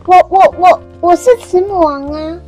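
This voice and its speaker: A man's voice: very high voice, moderate pace, darkish tone, soft background music, pitched above the performer's own voice. A man voicing a stubborn, tearful young male dinosaur child in a read-aloud storybook, with insistent childish protests. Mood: protesting.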